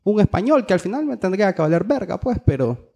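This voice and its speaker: A man's voice: high voice, sing-song, quick pace, creaky, darkish tone. A man with a Honduran accent chatting casually as a podcast co-host, swearing and using plenty of slang. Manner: profane, slangy. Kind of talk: casual chat.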